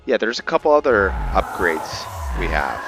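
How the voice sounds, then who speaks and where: A man narrating a roleplay game playthrough in a casual, halting way, stumbling over words, on a dull mic. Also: deep voice, sing-song, gravelly, music in the background. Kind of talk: casual gameplay commentary mixed with in-character journal reading.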